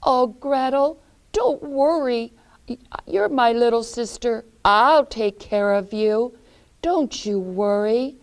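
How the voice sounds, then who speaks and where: A woman's voice: a little high, slow, voice pushed up. A woman voicing a young boy comforting his little sister in a storybook tale. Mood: reassuring. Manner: reassuring and comforting. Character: brave protective big brother Hansel.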